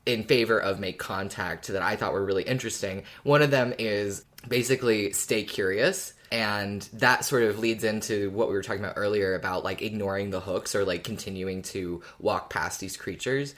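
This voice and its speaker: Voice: sits low, moderate pace, few pauses, fairly bright tone. A podcast speaker in a casual fan discussion, thinking aloud in a hesitant, reflective way. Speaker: podcast co-host or guest discussing an audio drama.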